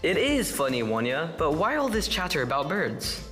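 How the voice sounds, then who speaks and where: A young man from the UK and the Philippines speaks as a podcast co-host, curious and lively. His pitch moves a lot, and music plays clearly behind him. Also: normal speed.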